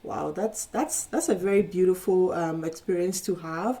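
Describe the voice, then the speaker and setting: A woman's voice: lowish voice, moderate pace, mellow. A woman hosting a podcast interview, reacting effusively and repeating words as she works out her questions. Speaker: podcast host. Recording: voice sounds distant from the mic.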